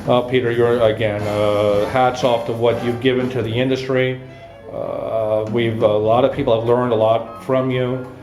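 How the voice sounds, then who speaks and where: Male interviewer-reviewer, mid-pitched, admiring and deliberate, halting phrases, roomy sound with music underneath. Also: level tone, a little mellow. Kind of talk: unscripted spoken review and interview. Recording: roomy echo with music behind.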